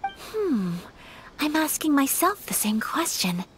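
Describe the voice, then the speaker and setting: A woman playing a gentle, refined young noblewoman in a video game, speaking in a polite, measured, thoughtful way. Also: sits high, very animated, a little hiss or hum, full character voice. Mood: pensive, puzzled. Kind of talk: scripted video game voice acting.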